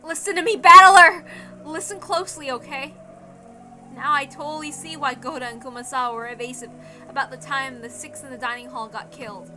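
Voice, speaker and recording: A woman acts out a tough teenage girl in a visual novel, wounded but defiant. The voice is high, with slangy, bitter, dramatic delivery over clear background music. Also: steady tone, a little fast, pushed and bright. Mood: urgent, bitter.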